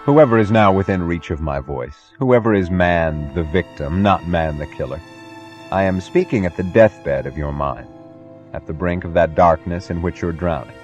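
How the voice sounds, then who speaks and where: Deep-voiced man delivering a solemn speech. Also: normal speed, dull audio. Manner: solemn, emphatic, oratorical. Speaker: narrator. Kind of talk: dramatic scripted philosophical speech narration. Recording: faint music behind. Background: music.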